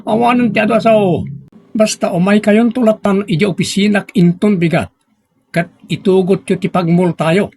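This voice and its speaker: An actor playing an arrogant, stern municipal engineer in a radio drama, curt and domineering. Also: male voice, sits high, fairly even intonation, moderate pace, darkish tone, husky. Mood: domineering, angry. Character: arrogant, stern male municipal engineer abusing his authority.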